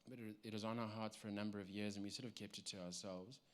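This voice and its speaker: A man in his thirties with a South African accent, giving a personal church testimony in a halting way with many ums and restarts. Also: fairly low pitch, fairly even intonation. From South Africa, Cape Town.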